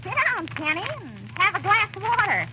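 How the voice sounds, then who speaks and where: An actress playing a tart, sarcastic old wife with slow, cutting retorts in a 1930s radio comedy. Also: female voice, very high voice, sing-song. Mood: brisk, flirty. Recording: noisy, crackly 1930s radio recording.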